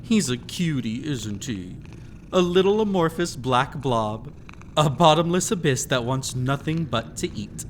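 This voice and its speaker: Casting: male actor as mysterious haunted-house keeper for horror audio roleplay. Mid-pitched, teasing, gleefully sinister, lingers on words. Some noise behind. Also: animated, lightly acted. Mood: playful cooing. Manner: teasing, drawn-out words, theatrical asides to the listener. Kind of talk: scripted immersive audio roleplay, storytelling.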